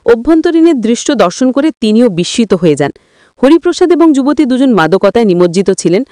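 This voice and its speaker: A woman's voice: on the high side, very animated, fairly quick, warm tone, no room sound, studio quality. A woman narrating a scripted moral story in formal, literary wording, as a dramatic storyteller.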